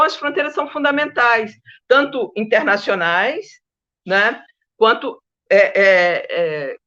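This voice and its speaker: A woman's voice: medium pitch, sharp tone, muffled recording. A Brazilian woman, a historian of science, speaking informally as a panel guest in an emphatic, digressive, conversational manner, often checking that listeners follow. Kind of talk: informal academic panel talk.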